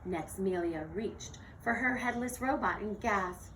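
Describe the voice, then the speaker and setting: A woman teacher reading a children's picture book aloud in an expressive, theatrical storytelling manner. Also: mid-range voice, unhurried. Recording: distant mic with faint noise.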